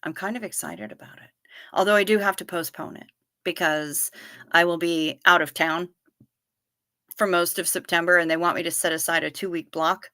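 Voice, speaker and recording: A woman's voice: on the low side, sharp tone, no echo, studio quality. A woman in her fifties hosting a livestream, chatting playfully and answering viewers by name. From Niagara Falls region. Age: 50s. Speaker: livestream host. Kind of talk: casual livestream chat reading viewer comments.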